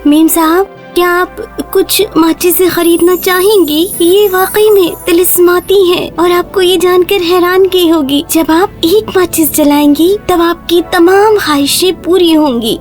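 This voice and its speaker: A woman's voice: very high-pitched, fairly even intonation, hardly any pauses, soft background music. A woman voicing a poor, polite eight-year-old orphan girl, pleading earnestly in a children's cartoon story. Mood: polite, persuading.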